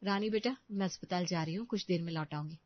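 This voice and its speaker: A woman voicing a soft-hearted young mother in a story, soothing and placating. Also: on the low side, quick pace, no room sound, highs cut off, lightly acted.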